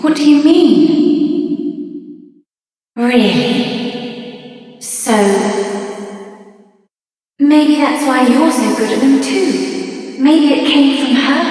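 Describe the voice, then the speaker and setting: A woman voicing a gentle, curious young female adventurer in a video game. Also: sits high. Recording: very echoey hall, distant, music behind.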